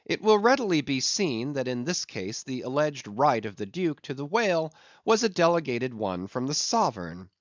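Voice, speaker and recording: A man reading a literary audiobook expressively, with deliberate, theatrical phrasing of ornate prose. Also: mid-range voice, sing-song, dry recording, dull audio. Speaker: audiobook reader.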